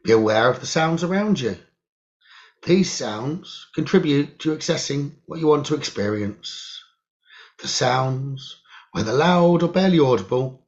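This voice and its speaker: Meditation teacher, male, medium pitch; soothing guided-relaxation read, hushed and measured with pauses; slightly muffled lo-fi sound. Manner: measured, hushed delivery in short phrases with pauses.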